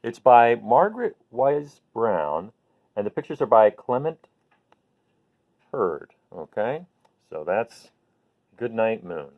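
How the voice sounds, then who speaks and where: A man hosting a children's bedtime read-aloud, talking gently and simply to viewers in an unhurried way. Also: on the low side, sing-song. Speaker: host reading picture books to children. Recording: phone-like narrow-band sound.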